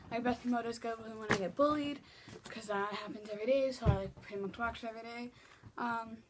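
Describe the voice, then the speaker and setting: Chatty teenage girl, high voice. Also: a little hiss or hum. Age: teens. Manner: chatty, rambling with many fillers and restarts. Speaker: vlogger. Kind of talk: casual Q and A vlog. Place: small bedroom, indoors. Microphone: laptop webcam mic.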